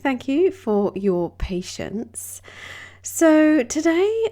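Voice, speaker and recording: A woman hosting a wellbeing podcast, speaking gently with a slightly croaky voice. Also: lowish voice. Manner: soft, gentle, unhurried delivery with drawn-out phrases. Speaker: yoga and wellbeing podcast host. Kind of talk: reflective wellbeing talk.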